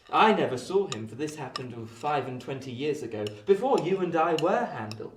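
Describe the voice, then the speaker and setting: A man as a genial, high-voiced young gentleman. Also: distant mic, a little hiss or hum, lightly acted. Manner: polite, tactful, chatty storytelling. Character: genial, well-bred young London gentleman telling tales. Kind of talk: radio play acting. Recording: echoey room, off the mic.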